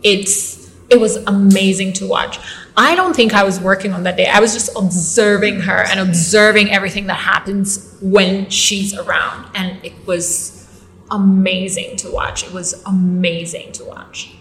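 A young Ugandan woman with an accent, a model and actress telling stories in a casual interview, animated, with fillers and quick restarts. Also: mid-range voice, low-quality recording. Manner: animated storytelling, fillers and self-corrections.

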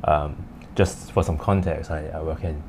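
A man who grew up in the United Kingdom, a podcast guest talking in a measured, thoughtful way with frequent fillers. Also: very deep voice, steady tone. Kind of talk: casual chat. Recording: noticeable background noise.

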